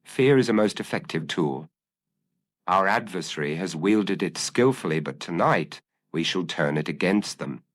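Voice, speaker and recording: A man voicing a shrewd, commanding Victorian detective in an audio storybook, speaking formally and deliberately. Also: low voice, animated. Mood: grave, resolute.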